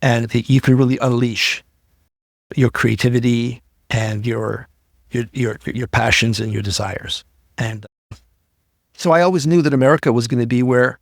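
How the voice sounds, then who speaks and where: A man's voice: mid-range voice, gravelly, no echo, studio quality. A middle-aged man from Iran, a podcast guest telling his story earnestly and often repeating words as he builds up. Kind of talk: casual interview talk.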